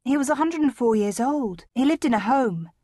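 Earnest, puzzled schoolgirl for radio-style drama; slightly high, breathy voice, dry close sound. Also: expressive, moderate pace, dark tone, dry recording. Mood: earnest, subdued. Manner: earnest, questioning. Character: earnest, puzzled young schoolgirl, great-granddaughter. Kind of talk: audio drama acting.

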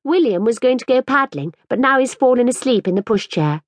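A woman's voice: fairly high pitch, lively intonation, fairly quick, dry recording, pitched above the performer's own voice, natural voice. A woman doing an impatient, exasperated mother. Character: impatient young mother at a lake.

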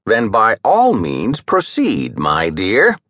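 A man's voice: lowish voice, wildly swooping pitch, takes it slowly, bright, forceful tone, no echo, exaggerated voice. A man voicing a confident, swaggering pirate captain who speaks in a commanding, menacing way.

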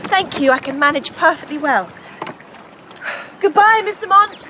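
An actress playing a spirited, self-possessed young upper-class Englishwoman in a radio drama, with crisp speech. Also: high voice, lively intonation, normal speed, noisy background. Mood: brisk, impatient. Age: young adult.

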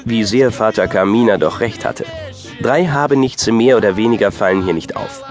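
A man's voice: on the low side, normal speed. A man narrating an audio drama from a script in a dryly wry storytelling manner. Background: music.

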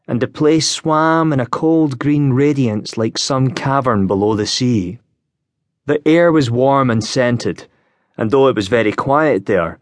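A man reads literary fiction as an audiobook narrator, in measured, descriptive storytelling. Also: mid-range voice, dry recording, studio quality. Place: dry recording studio.

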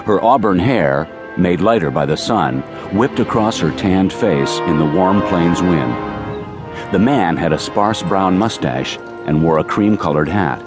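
Casting: male narrator, dramatized Western audiobook, deep pitch, prominent music bed. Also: lively intonation, unhurried.

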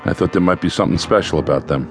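An actor playing a steady, perceptive army captain in a radio war drama, plain-spoken and probing. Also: male voice, low-pitched, racing, a little hiss or hum. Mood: concerned, probing.

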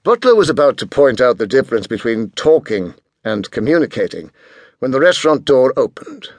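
A male narrator reads fiction with very lively, swooping intonation. His voice is mid-pitched and the recording is dry and close, in expressive audiobook style. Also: fairly quick, no room sound.

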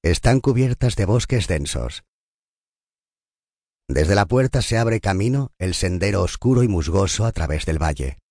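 A man narrating a scripted guided meditation in a calm, soothing and unhurried manner. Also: deep voice, no room sound, studio quality.

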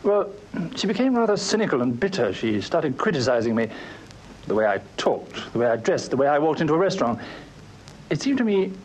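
An actor playing an earnest, nervous young suitor in a British radio drama, hesitant and deferential, with Received Pronunciation. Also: male voice, on the high side, big pitch swings, a little fast, a little mellow, rough recording. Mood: earnest, aggrieved. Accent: English Received Pronunciation. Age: young adult.

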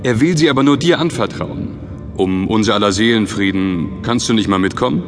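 A man's voice: lowish voice, brisk, natural voice. A man playing a stern, suspicious comrade in a science fiction audio drama, serious and exasperated in manner. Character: stern, suspicious male security-minded comrade. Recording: long reverberant hall sound.

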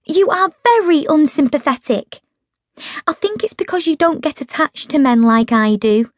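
A woman putting on a lovesick young woman for an audiobook, pitched well above the reader's own voice and pleading in a breathy, wistful way. The read is close and dry, without room sound. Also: animated, normal speed, dry recording. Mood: plaintive. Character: heartbroken dreamy young woman, romantic and wistful. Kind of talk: audiobook character voice.

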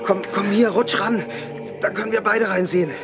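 Timid young man, higher-pitched. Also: steady tone, normal speed. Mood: nervous. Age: young adult. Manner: nervous, hesitant, protesting. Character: timid, anxious young man, reluctant companion. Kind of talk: horror radio drama acting. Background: prominent music.

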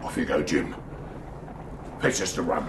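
An actor playing a drunken, foul-tempered old sea captain in a radio drama, growling out orders and curses in a gravelly voice. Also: male voice, a bass voice, unhurried, reverberant, slight background noise, full character voice. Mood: grim. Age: older.

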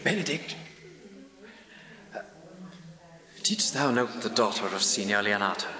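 An actor playing an earnest, lovestruck young count in ardent Shakespearean stage style. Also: male voice, on the low side, expressive, measured pace, roomy sound, in a large hall, a crowd in the background. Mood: smitten, earnest. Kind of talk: theatrical Shakespearean stage acting.

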